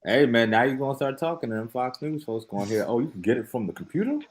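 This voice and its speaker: A man's voice: medium pitch, animated, quick pace, a little hiss or hum. A man in casual chat who teases the others and laughs often. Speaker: co-host.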